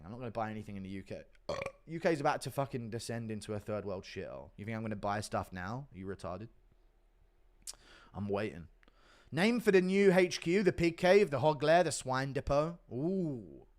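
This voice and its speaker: A man hosting a casual livestream, reading donations and answering chat in a blunt, profane, offhand way. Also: mid-range voice. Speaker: livestream host.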